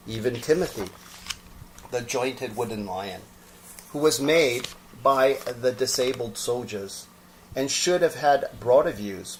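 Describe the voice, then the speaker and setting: A man narrating a children's storybook expressively, with deliberate, dramatic phrasing. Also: mid-range voice, darkish tone, rough recording.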